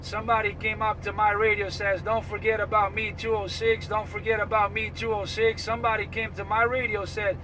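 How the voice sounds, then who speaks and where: A high-voiced man works the CB radio in streetwise slang, repeating his call-outs over and over. Clear vehicle and outdoor road noise runs behind him. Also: little pitch movement, a little fast, hardly any pauses. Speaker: CB radio operator. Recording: noisy, with vehicle and outdoor traffic sounds.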